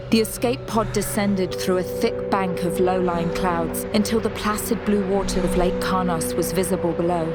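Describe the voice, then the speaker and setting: A woman reading dramatic scripted narration as the narrator of an audio story. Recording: loud music bed, engine rumble.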